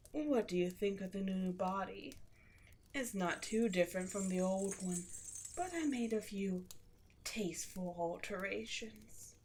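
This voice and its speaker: Woman acting as a demon queen, slow theatrical delivery, seductive, imperious, menacing, breathy whispered touches, audio roleplay monologue. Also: medium pitch, darkish tone, slight background noise. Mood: triumphant, menacing.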